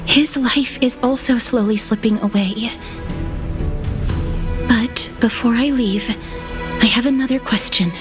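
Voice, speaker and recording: High voiced young game heroine, sombre and polite, kind of formal, loud music under it. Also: female voice. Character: earnest, sombre young woman on a long quest. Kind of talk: scripted video game voice acting.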